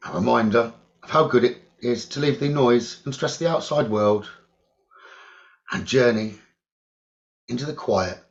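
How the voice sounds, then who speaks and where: A man of middle pitch leads a guided meditation, slow and soothing, repeating phrases and pausing often. The recording sounds dull and lo-fi. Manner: slow, soothing, repetitive instructions with long pauses.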